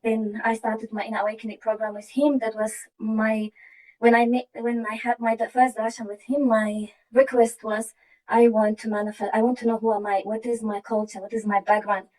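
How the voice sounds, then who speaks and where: A woman from Iraq, earnestly sharing a personal testimony with halting restarts. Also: fairly high pitch, little pitch movement, a little mellow, distant mic, poor audio. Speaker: program participant sharing her story.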